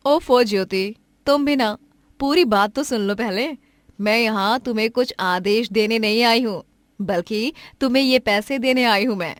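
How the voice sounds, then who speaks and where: A woman voicing a kind, generous lady of the house in a cartoon moral story, chatty and gently chiding. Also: on the high side, moderate pace, dry recording, lightly acted. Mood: generous, friendly.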